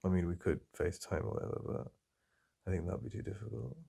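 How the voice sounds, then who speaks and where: Soft, intimate English boyfriend voice, very low, heavy fry, halting delivery. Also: male voice. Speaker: ASMR roleplay host. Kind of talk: boyfriend ASMR roleplay.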